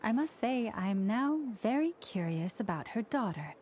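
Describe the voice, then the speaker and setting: An actress playing a composed, inquisitive adult companion in a video game. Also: female voice, medium pitch, very animated, moderate pace, a little mellow, a little hiss or hum, natural voice. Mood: curious.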